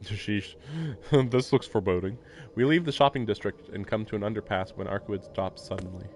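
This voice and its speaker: A man's voice: fairly low pitch, moderate pace, slight background noise. A man streaming a visual novel, reading the text aloud and adding casual commentary. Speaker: game streamer. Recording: game music behind the voice.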